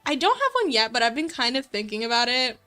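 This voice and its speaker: A woman's voice: a little high, sing-song, fast, pushed and bright. A woman hosting a casual livestream, talking informally and a little self-consciously in a rambling way as she works. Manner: informal, rambling, self-conscious, giggly, mutters while working. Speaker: livestream host and visual novel writer. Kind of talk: casual livestream chat while coding and reading viewer comments. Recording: music playing behind the voice. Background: background music.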